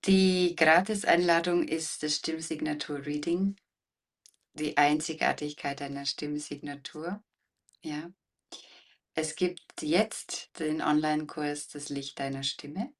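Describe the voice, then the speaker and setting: A woman with a deep, warm voice, a guest voice coach explaining things reflectively and deliberately in conversation. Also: steady tone, recorded from a distance. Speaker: interview guest, voice coach and former singer.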